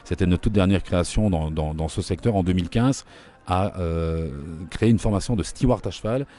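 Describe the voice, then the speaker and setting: Belgian man, very low voice, fast talker, dark tone, middle-aged, explaining with fillers. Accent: Belgian French. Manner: brisk and informative, with frequent fillers. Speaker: interviewee, equestrian trainer. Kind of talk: explanatory interview talk.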